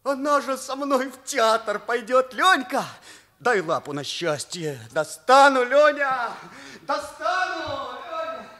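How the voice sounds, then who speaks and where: An ardent, high-voiced teenage boy. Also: sing-song, takes it slowly, bright, forceful tone, exaggerated voice. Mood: excited. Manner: earnest, impulsive. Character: naive, ardent teenage schoolboy. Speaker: stage actor. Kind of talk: Soviet radio play acting.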